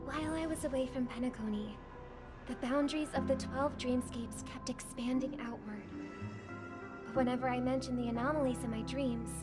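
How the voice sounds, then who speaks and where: A woman voicing a gentle, idealistic young female singer in a video game, speaking in a measured, softly formal way. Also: fairly high pitch, lightly acted. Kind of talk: scripted video game voice acting. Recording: music behind the voice.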